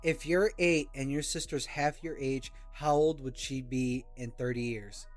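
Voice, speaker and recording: A man's voice: mid-range voice, steady tone, measured pace, soft background music. A man in his twenties in a casual group chat, blunt, profane and teasing. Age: 20s.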